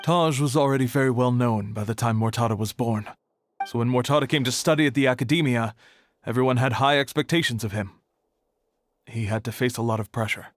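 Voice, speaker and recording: Guy doing a serious guarded archive keeper for a game, mid voice, measured and matter of fact. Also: mid-range voice, soft background music, close to an everyday voice. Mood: somber. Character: reserved, serious male academy archive keeper. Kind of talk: video game voice acting.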